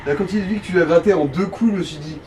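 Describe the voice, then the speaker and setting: A man's voice: sits high, rapid-fire. A man in informal gaming chat, explaining things to the player as a co-commentator, with the odd swear word. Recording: distant mic with faint background noise.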